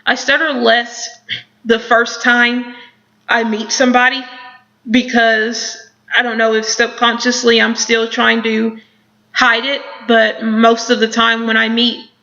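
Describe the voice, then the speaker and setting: Young woman with a stutter, gravelly. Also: on the high side, sharp tone, lo-fi sound. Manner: stutters with repetitions and blocks, many ums, thoughtful. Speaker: person who stutters answering questions. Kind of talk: self-interview, reading questions and answering.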